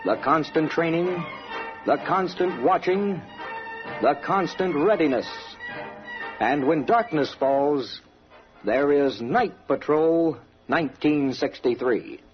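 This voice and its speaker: Male American documentary voice, 1960s radio: unhurried and dramatic, dull sound with music underneath. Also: a little high, animated. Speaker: documentary narrator. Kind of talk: 1960s military radio documentary narration, scripted. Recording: dull old radio recording, music behind.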